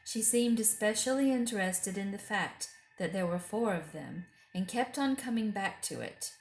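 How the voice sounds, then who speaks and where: A woman reading a children's book aloud as an expressive storyteller. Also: medium pitch, moderate pace. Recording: roomy echo.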